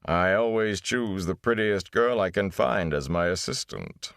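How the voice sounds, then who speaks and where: A man reading a book aloud and voicing a flamboyant older male gleeman who is theatrical and scornful, with a grand, booming delivery. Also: measured pace, creaky, a bit bright, dry recording, clean studio sound, heavily acted. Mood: flattering.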